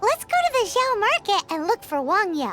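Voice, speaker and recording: A woman voicing a small, childlike, excitable floating mascot in a video game, squeaky and wide-eyed. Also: moderate pace, full character voice. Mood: eager, cheerful. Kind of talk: video game character acting. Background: music.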